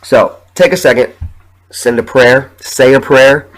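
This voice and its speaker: A young man hosting his own podcast while sick with the flu, sniffling and talking in a halting, rambling, emotional way with plenty of swearing. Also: medium pitch, steady tone, low-quality recording. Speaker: podcast host. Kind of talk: solo podcast monologue.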